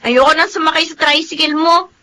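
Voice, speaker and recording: An actress with a Filipino accent playing a meek, overworked young housemaid in a radio drama. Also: female voice, sits high, steady tone, fairly quick, sharp tone, a little hiss or hum. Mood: reluctant, tender.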